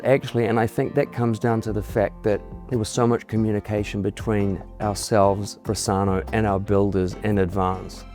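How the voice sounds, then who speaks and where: A middle-aged man, a homeowner speaking candidly in a relaxed testimonial interview, often restarting his words. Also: on the low side. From New Zealand. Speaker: homeowner giving a client testimonial. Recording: soft music underneath.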